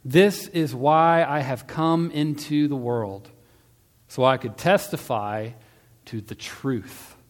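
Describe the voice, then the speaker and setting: A man voicing a calm, solemn male holy teacher on trial, speaking in a measured, quietly assured way. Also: mid-range voice, pitched above the performer's own voice, close to an everyday voice. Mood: earnest. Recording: long hall-like reverb.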